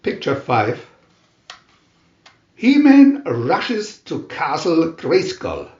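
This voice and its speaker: A man reading a storybook aloud as dramatic narration, in a theatrical, deliberate manner with emphatic announcements. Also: a little high, wildly swooping pitch, a little mellow, echoey room, lo-fi sound. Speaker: reader of picture-reel story booklets.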